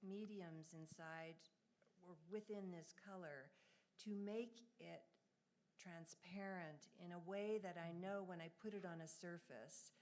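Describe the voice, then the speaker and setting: Woman, abstract painter, lowish voice, earnest, reflective, gallery talk. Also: steady tone, unhurried, a little mellow. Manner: earnest, reflective, speaks with conviction. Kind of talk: public artist talk answering questions. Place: gallery event space.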